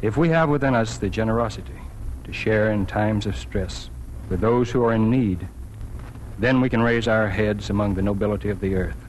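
Man playing a solemn, low-voiced small-town editor. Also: a little mellow, close to an everyday voice, low-quality recording. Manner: measured, solemn. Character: earnest, dignified small-town newspaper editor. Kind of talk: 1940s radio acting, solemn public address. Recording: hissy vintage 1940s radio recording.

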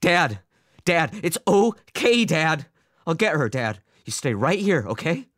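Audiobook role: overwhelmed adult son, pleading and sighing, pitched up from the narration, widely varying intonation male narrator acting. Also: expressive, normal speed, dry recording, clean studio sound, natural voice. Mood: frantic, loud. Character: Albert, unhappy, anxious grown son, easily overwhelmed.

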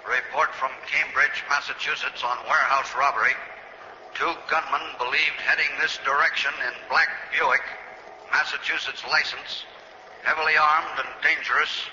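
An actor playing a brisk police dispatcher in an old radio crime drama, reading out a clipped, official bulletin. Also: male voice, on the high side, steady tone, pushed and bright. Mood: urgent, official. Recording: muffled, echoey, noisy vintage radio recording.

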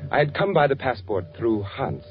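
Male actor, anxious secretive man, brooding radio drama delivery, very animated inflection, muffled vintage recording with music behind. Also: mid-range voice, lightly acted. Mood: brooding narration. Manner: brooding, halting, self-questioning. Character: anxious secretive man posing as German traveler Charles Umberstein. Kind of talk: 1940s radio suspense acting, confessional first-person narration and dialogue.

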